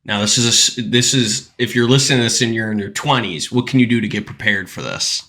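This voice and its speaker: American guy hosting a podcast, bright forward voice, just chatting casually. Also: lowish voice, fast. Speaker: podcast host.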